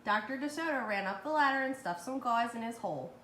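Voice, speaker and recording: A woman's voice: a little high, animated, fairly quick, bright, forceful tone. A woman reading a children's story aloud expressively as a storyteller. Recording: roomy, echoey with faint noise.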